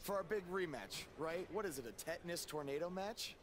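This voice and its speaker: A man's voice: fairly high pitch, lively intonation, fairly quick, crowd noise, natural voice. A man playing an earnest, wary, exasperated pro wrestler in a video game cutscene. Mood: skeptical.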